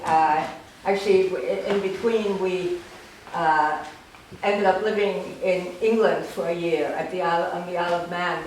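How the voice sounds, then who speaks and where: An older woman, a German native speaker from Romania, giving an informal talk about her life, halting and rambling with lots of fillers and wry self-deprecating humor. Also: fairly low pitch. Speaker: artist giving a gallery talk. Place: art gallery room. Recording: echoey gallery, distant, noisy ambience.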